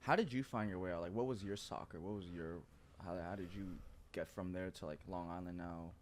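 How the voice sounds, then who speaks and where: A man's voice: low-pitched, a little fast, slight background noise. A man hosting a podcast, opening the show upbeat and asking his guest curious follow-up questions. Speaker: podcast host. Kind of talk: casual podcast chat.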